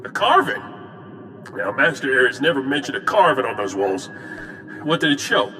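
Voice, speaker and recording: An actor playing a sickly, chatty, wry patient who helps a Jedi in a video game. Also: male voice, mid-range voice, normal speed, faint music behind. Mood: eager, sceptical. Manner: wry, animated, joking. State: frequent coughing fits. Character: sickly, wry, chatty male patient, Jedi's eager helper.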